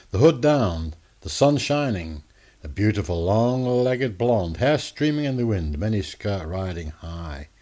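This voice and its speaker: A man narrating a scripted radio drama in a theatrical, deliberate manner. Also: lowish voice, darkish tone.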